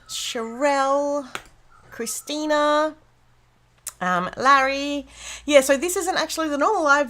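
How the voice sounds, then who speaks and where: Bubbly, slightly hoarse woman livestreaming. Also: high voice, fairly bright tone. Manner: bubbly and chatty, greets viewers by name, frequent ums and laughs. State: slightly hoarse, losing her voice after being sick. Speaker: livestream host of a planner craft show. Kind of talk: casual livestream chat while crafting. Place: room at home with a door open to rain.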